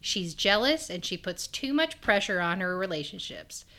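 A woman's voice: mid-range voice, sing-song, moderate pace, pushed and bright. A woman co-hosting a podcast, recapping a TV episode in casual chat, laughing often and swearing freely. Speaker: podcast co-host.

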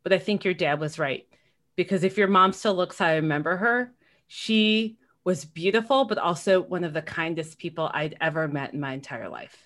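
A woman hosts a relaxed podcast chat in an earnest, warm way. She reminisces and draws her guest out with follow-up questions. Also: on the low side, moderate pace. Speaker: podcast host. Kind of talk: casual podcast conversation.

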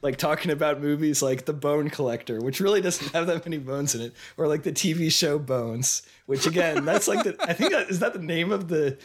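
A man's voice: fairly high pitch, fairly quick, hardly any pauses. An American man in his thirties with a cold and a slightly congested voice, co-hosting a casual, jokey, rambling film discussion. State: has a cold, losing voice. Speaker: podcast co-host.

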